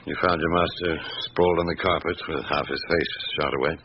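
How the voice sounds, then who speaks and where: A brooding, weary senior detective with an extremely deep voice. He is blunt and probing, narrating and questioning as in classic radio drama. The sound is dull, with faint noise behind it. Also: steady tone, fairly quick. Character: patient, brooding, weary senior police inspector. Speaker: detective and first-person narrator. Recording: muffled old radio recording, faint noise.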